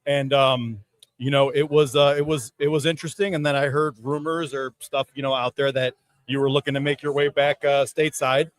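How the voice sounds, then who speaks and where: A man in his thirties telling a casual story as a podcast panelist, halting and rambling. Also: mid-range voice, little pitch movement, no echo. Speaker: sports writer and podcast panelist.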